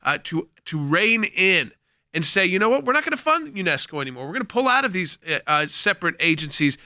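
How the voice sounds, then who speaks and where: A man's voice: high voice, sing-song, a little fast, bright, forceful tone, no room sound, studio quality. A man co-hosting a live call-in talk show, explaining points steadily.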